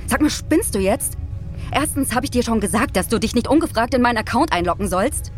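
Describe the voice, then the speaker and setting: An actress playing a strong-willed, defensive Mars mission leader in an audio drama, arguing assertively. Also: female voice, fairly high pitch, expressive, brisk, soft background music, close to an everyday voice. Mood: indignant. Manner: argumentative, assertive.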